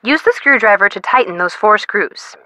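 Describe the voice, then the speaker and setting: Casting: bubbly young woman, a detective's teasing best friend in an adventure game; bright, animated, dramatic delivery. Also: mid-range voice, moderate pace, no room sound, low-quality recording. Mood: excited, emphatic. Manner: perky, dramatic, chatty. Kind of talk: video game hint dialogue.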